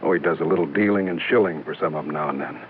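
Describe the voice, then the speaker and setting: An American actor playing a worried, suspicious insurance office manager in a 1950s radio drama, speaking in an earnest, confiding way. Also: male voice, a bass voice, racing, narrow, tinny audio, a little hiss or hum. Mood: uneasy, suspicious. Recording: thin band-limited old radio broadcast.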